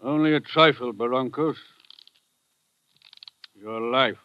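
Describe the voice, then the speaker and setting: A man playing a cold, menacing killer in a 1940s radio drama, speaking in quiet, clipped lines, on a dull old radio recording. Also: medium pitch, slow pace, clean tone, dry recording, lightly acted.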